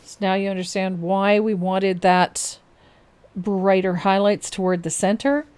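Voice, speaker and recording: A woman in her fifties hosting a live painting lesson, calmly explaining each step and laughing often. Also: mid-range voice, measured pace, smooth. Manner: calm step-by-step instruction with frequent laughs. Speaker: painting instructor and host.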